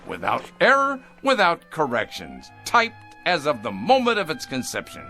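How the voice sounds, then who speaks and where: An actor playing a cold, pompous factory boss in a radio drama, with a theatrical, condescending manner. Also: male voice, fairly high pitch, huge pitch swings, a little slow, pushed and bright, faint music behind, heavily acted. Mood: smug, excitable.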